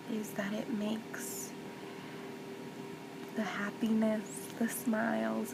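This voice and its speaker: Woman playing a hushed, slow-talking comforting mother. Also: creaky, full character voice. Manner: soothing, tender, reassuring, hushed with whispery stretches. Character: gentle comforting mother soothing her hurting child. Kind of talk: soft-spoken ASMR roleplay. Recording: loud background noise with low rumble.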